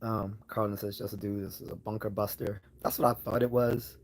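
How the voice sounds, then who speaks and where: A man hosting a casual live Q&A, hoarse from losing his voice, rambling with many fillers and restarts as he answers chat questions. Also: on the low side, little pitch movement, a little fast.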